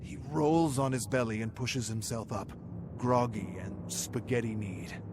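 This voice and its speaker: A man's voice: medium pitch, a little slow, very noisy. A man narrating a dramatic story from a script in an intense, suspenseful manner.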